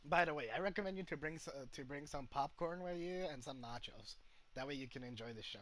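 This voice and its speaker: A man's voice: on the high side, quick pace. A man giving casual commentary as he plays a video game. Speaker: gamer commenting on gameplay. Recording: quiet voice under loud game music.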